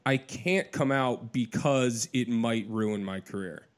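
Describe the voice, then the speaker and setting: A man hosts a casual interview in an encouraging, affirming way. His voice sits in a middle pitch, and he talks conversationally with his guest. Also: slow. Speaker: interviewer, host.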